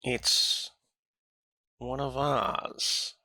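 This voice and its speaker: A man playing a suspicious alien henchman in an audio drama, speaking in a deliberate, halting way. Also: medium pitch, little pitch movement, sharp tone, no room sound, full character voice. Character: suspicious alien henchman aboard a space fortress.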